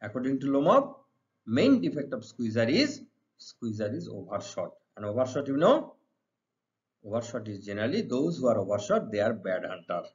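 Middle aged indian guy teacher explaining exam answers, pitch goes up and down loads, kinda muffled audio. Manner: didactic, repeats phrases. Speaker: English literature teacher. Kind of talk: exam-preparation lesson, reading questions and explaining answers. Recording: dull, muffled lo-fi sound.

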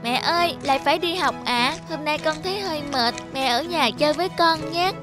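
A woman's voice: high voice, brisk, music in the background, close to an everyday voice. A woman voicing a sweet, polite little schoolgirl in a cartoon, childlike and innocent. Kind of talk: animated moral tale dubbing.

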